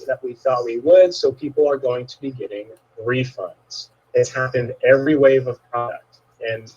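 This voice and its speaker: Husky man, host, laid-back and chatty, dull lo-fi sound. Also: medium pitch, steady tone, normal speed, darkish tone. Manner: laid-back, agreeable, filler-heavy. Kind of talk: casual conversational interview.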